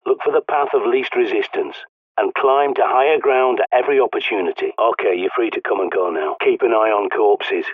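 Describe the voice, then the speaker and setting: An actor playing a blunt, sweary, paranoid survivalist mentor in a video game, with an English accent, heard through a radio filter. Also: male voice, mid-range voice, a little fast, dry recording. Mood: stern, blunt. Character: gruff paranoid doomsday survivalist man, bossy mentor. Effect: radio transmission filter. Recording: muffled narrow-band radio sound.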